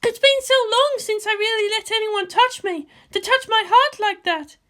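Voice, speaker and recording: A man's voice: very high-pitched, moderate pace, pushed and bright, voice pushed up. A man voicing a brash, world-weary young woman officer with an emotional, teasing manner in a fan fiction reading. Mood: wistful, bitter.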